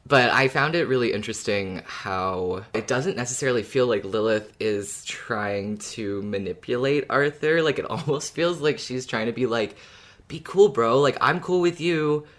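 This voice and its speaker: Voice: mid-range voice, normal speed, fairly bright tone. A podcast host who chats casually about a story in a fan discussion and laughs often.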